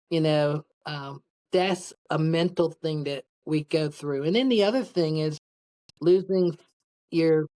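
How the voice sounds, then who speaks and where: Podcast guest: middle-aged woman with a low-set voice, casual and candid, wandering through personal stories. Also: darkish tone, poor audio. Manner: candid, rambling storytelling. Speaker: podcast guest, public speaker. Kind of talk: casual interview, personal anecdotes.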